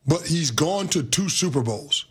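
Slightly hoarse male sports co-host. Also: fairly high pitch, expressive, unhurried. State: a bit hoarse. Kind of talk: casual sports debate.

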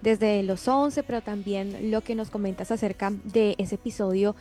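A woman hosting an interview who asks her questions in a formal, reverent, measured way. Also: mid-range voice, fairly even intonation, dark tone, faint music behind. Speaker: host.

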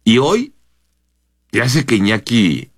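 A man's voice: medium pitch, big pitch swings, slow pace, slightly rough, no echo, studio quality. A man with a Mexican accent hosting a morning news radio show, chatting with opinions and often checking that his co-host agrees.

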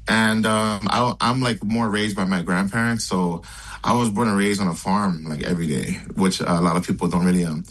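A man's voice: deep voice, steady tone, moderate pace, fairly bright tone, rough recording. A man from Hawaii, chatting easily as a musician guest over a video call. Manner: laid-back, easygoing, lots of fillers. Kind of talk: casual interview chat.

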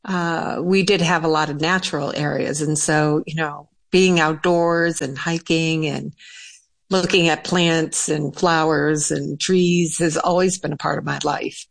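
Slow, measured woman from western Massachusetts. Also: low-pitched, steady tone, vocal fry. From western Massachusetts, USA. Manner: measured, unhurried. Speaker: panel guest, nature educator. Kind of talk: conversational answers.

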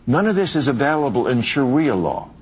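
A man's voice: mid-range voice, very animated, brisk. A man delivering a punchy, sarcastic monologue before a live audience, in a played clip. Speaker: comedian-commentator in an archive clip. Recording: clip with audience noise behind.

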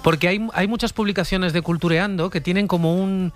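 A man's voice: sits high, fairly even intonation, a little fast. A man co-hosting a radio talk show, chatty and playful, rambling as he explains. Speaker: co-host.

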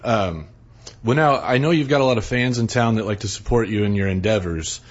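Male radio host, relaxed and conversational, husky tone, muffled recording. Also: lowish voice, brisk. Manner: relaxed, chatty, says "man" often. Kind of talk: casual interview chat.

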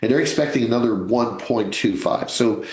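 A man's voice: medium pitch, normal speed, reverberant, low-quality recording. A man in his sixties hosting a live financial radio show, chatty and joking, calling his listeners folks. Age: 60s. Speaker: radio host, financial advisor.

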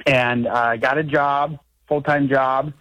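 A man in his early twenties talking haltingly and conversationally as a radio show caller. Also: mid-range voice, steady tone, a bit bright, no echo, rough recording. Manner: hesitant, halting. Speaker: phone-in caller. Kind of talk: conversational call-in.

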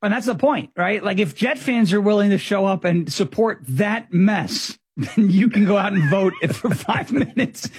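A man from New York City with a permanently raspy voice, talking politics on a radio talk show with sarcastic energy. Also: sits high, measured pace, a little hiss or hum. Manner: animated, sarcastic, does a presidential impression. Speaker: guest commentator.

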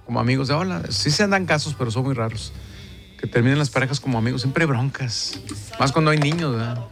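Locutor mexicano bromista, voz rasposa. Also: male voice, mid-range voice, fast talker, over music. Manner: joking, crude, loose slang. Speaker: radio prank call host. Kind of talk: casual radio chat.

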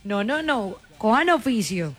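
A woman's voice: fairly high pitch, big pitch swings, a little slow. A woman, a radio co-host joking in playful, teasing banter. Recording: music bed behind.

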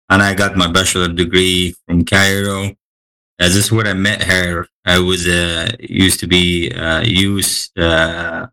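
Egyptian-accented man, deep and bright. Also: poor audio. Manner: hesitant, many fillers, laughs easily. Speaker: guest. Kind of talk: casual interview chat.

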